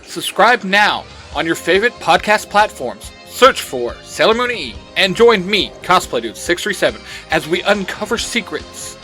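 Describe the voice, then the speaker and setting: A man's voice: high-pitched, big pitch swings, moderate pace, hardly any pauses, pushed and bright, faint music behind. A man delivering a scripted podcast promo as its host, in a hyped, dramatic manner.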